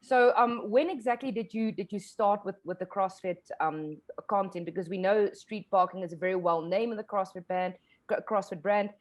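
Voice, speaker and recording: A woman's voice: medium pitch, normal speed. A woman hosting a casual interview show, upbeat and asking questions, with some fillers. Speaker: podcast host. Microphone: video call.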